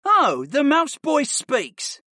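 Man putting on a high, sneering cocky rich boy. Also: expressive, normal speed, sharp tone, no echo, studio quality. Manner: drawling, smug, mocking. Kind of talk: audiobook character acting.

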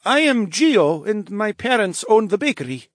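A man's voice: sits high, very animated, normal speed, dry recording, studio quality, voice pushed up. A man voicing a young bear-folk boy, anxious and childlike with a stammering manner, in an audiobook fantasy story. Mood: earnest, cheerful. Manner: stammering, wide-eyed, childlike. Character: young bear demi-human boy, anxious, tearful, hungry.

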